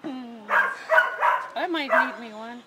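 A woman at an outdoor animal clinic, talking softly and teasingly to the animals. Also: on the high side, slow. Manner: soft, teasing talk to animals. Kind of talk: casual chat. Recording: loud background noise, outdoors.

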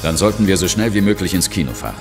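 Ghost hunter lead: extremely low, lively inflection, steady and reassuring, horror radio play. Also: male voice, very fast, music in the background. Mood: reassuring, resolute. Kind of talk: German horror audio drama, dialogue and first-person narration.

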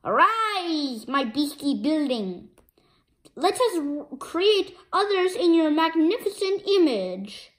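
Storybook fish supervillain, performed by a woman: gloating, grandiose, slow, relishing each command. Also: sits high, sing-song, a bit bright, heavily acted. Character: gleeful revived cyborg fish supervillain plotting revenge.

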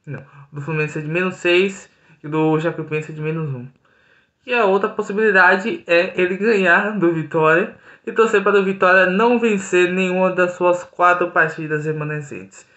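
A young man talks earnestly through his analysis of a match, often pausing and restarting his sentences. His voice is bright and a little high, recorded on a webcam in a small room with a noticeable echo. The audio sounds slightly dull. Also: fairly even intonation. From Brazil. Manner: earnest, frequent hesitations and restarts. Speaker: football commentator. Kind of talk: solo football analysis to camera.

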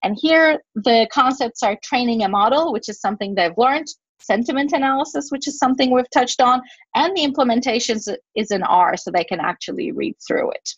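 A woman's voice: fairly high pitch, expressive, a little fast, slightly rough, dry recording. Woman lecturing over a dull, dry call line. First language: Turkish. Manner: explanatory, fillers and self-corrections. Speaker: guest speaker, professor. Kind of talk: webinar talk and Q&A.

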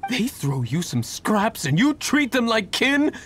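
A man's voice: sits high, big pitch swings, unhurried, a bit bright, slight background noise, exaggerated voice. An actor playing an indignant, accusing village man in a fantasy game, theatrical and scornful.

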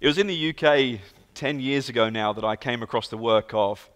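British guest preacher, 30s, London accent, earnest storyteller, echoing church hall. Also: male voice, medium pitch, fairly quick. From England. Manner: earnest, storytelling, lively emphasis. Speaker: guest speaker. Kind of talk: sermon. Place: large church sanctuary. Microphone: handheld and stage mic. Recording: long hall reverb.

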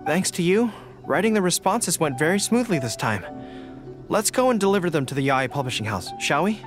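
A man's voice: fairly high pitch, big pitch swings, over music. A young man voicing an earnest, formal young dog-eared general in a video game. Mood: friendly, modest. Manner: earnest, deliberate, formal phrasing. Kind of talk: video game character dialogue.

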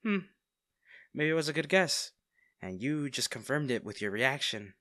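A man's voice: mid-range voice, very animated, pushed and bright, no room sound. A man playing a smitten villain, close and dry. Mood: teasing, smug. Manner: teasing, theatrical, pausing, addressing the listener intimately. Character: male villain, teasing, smitten captor of a hero. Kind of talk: audio roleplay acting, intimate one-sided dialogue.

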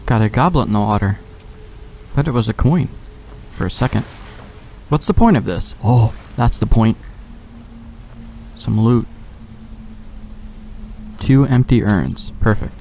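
A man's voice: mid-range voice, animated, unhurried, creaky, long pauses, darkish tone, slight background noise. A young man giving offhand, slangy commentary as he plays a video game, talking to himself. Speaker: gamer, let's play host.

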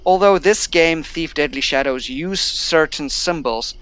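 Bilingual man with a smooth voice. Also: on the high side, unhurried, fairly bright tone, no echo. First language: not English. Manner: reads on-screen tutorial text aloud, then chats. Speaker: gameplay streamer. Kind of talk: casual let's-play commentary.

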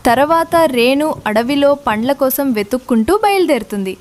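A woman's voice: high-pitched, animated, a little fast, a little mellow. A woman narrating a children's cartoon story from a script.

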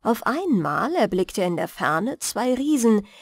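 A woman reading a fairy tale as a bedtime audiobook, in a measured, soothing manner. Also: medium pitch, big pitch swings, breathy edge, dry recording. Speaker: storyteller and audiobook reader.

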